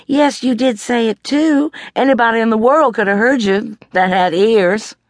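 A woman voicing a spoiled, indignant younger sister with a shrill, huffy way of talking. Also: normal speed, no echo, clean studio sound, close to an everyday voice.

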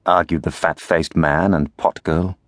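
A man, reading a fairy tale as audiobook narrator in expressive storytelling style. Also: low-pitched, measured pace, no room sound, clean studio sound.

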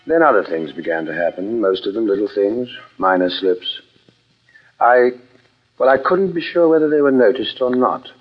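An actor playing a troubled psychiatrist in an old radio drama, earnest, formal and hesitant. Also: male voice, on the low side, lively intonation, moderate pace, soft background music. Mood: brooding narration. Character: troubled, brilliant psychiatrist fearing for his own sanity. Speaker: narrator and lead actor. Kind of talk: old radio drama acting, first-person narration. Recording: dull vintage radio recording.

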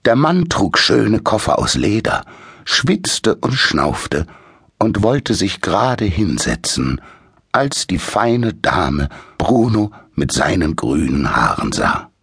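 A man with a husky, gravelly voice narrating a children's story in an expressive, dramatic way. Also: low-pitched, slow, no room sound, studio quality. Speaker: audiobook narrator.

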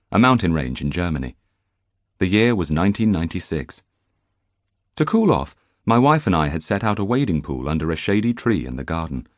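A man narrating a nonfiction audiobook in an expressive storytelling manner, close to the microphone in a studio. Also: low-pitched, no echo. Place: dry recording studio.

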